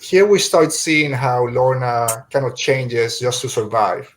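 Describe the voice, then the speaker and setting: A man's voice: medium pitch, slow pace. A man hosting a podcast, chatting casually through a review and often searching for his words as he rambles. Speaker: podcast host.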